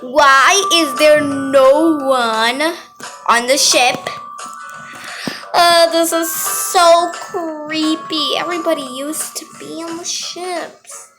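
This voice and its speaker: A young female gamer improvising an anxious, dramatic roleplay over gameplay, with loud music behind the voice. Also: high-pitched, steady tone, slow pace. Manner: dramatic, anxious play-acting. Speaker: gaming video creator.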